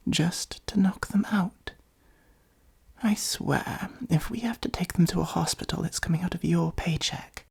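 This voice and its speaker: A performer voicing a theatrical supervillain in an ASMR roleplay, with a soft breathy delivery, pompous and sardonic. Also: mid-range voice, full character voice. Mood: exasperated, sardonic. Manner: pompous monologuing, sardonic exasperated asides. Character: theatrical gloating supervillain, secretly fond of the hero.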